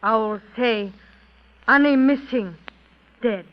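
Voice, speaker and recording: An actress playing a stubborn, solemn mother on the witness stand, speaking terse, halting broken English in an old radio drama. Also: a little high. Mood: stolid, insistent. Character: stubborn, solemn Native woman, mother giving testimony. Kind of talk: 1930s radio drama acting. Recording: dull old radio recording.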